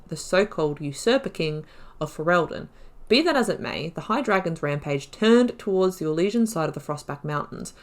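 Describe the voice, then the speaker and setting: A woman hosting a gaming video, reading lore aloud and adding informal, self-correcting asides. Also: lowish voice. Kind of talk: reading game lore aloud with casual commentary.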